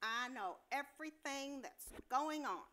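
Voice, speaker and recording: A woman's voice: high-pitched, lively intonation, a little slow, bright, forceful tone, heavily acted. A woman playing a brusque, bossy hospital nurse in an amateur play reading, curt and dismissive as she scolds.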